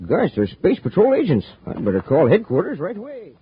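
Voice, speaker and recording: An actor playing a folksy, friendly homesteader with a gravelly, husky voice in a 1950s radio serial. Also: male voice, high voice, huge pitch swings, brisk, full character voice. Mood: curious, excited. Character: folksy, friendly rustic Martian homesteader, lonely and helpful. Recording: muffled, hissy 1950s radio recording.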